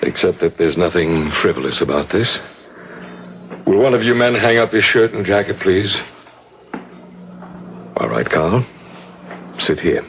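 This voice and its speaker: An actor playing a commanding, sinister cult leader in a 1970s radio drama, solemn, measured and hypnotic, on an old broadcast. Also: male voice, low-pitched, very animated, a bit bright, a little hiss or hum, heavily acted. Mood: authoritative.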